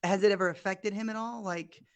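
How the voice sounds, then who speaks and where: Raspy-voiced woman with allergies. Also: mid-range voice, steady tone, fairly quick. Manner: asks short, curious questions. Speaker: guest, paranormal investigator. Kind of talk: casual podcast chat.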